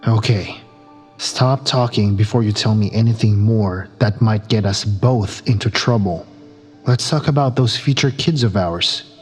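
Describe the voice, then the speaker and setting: A man's voice: lowish voice, unhurried, faint music behind, lightly acted. A man playing a wry, pragmatic space crewman in an audio drama, dry and a little exasperated. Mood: exasperated, cautious.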